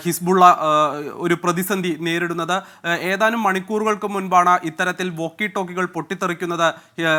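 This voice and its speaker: A man at the news desk giving a live news briefing, speaking steadily and informatively but often pausing with hesitation sounds. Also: high voice, sharp tone, clean tone.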